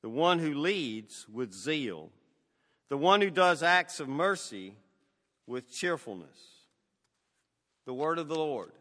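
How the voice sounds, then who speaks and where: An older man with a Southern American accent, a guest pastor reading scripture and preaching in a measured, folksy way with frequent fillers. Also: fairly high pitch, very animated, sharp tone, long reverb tail.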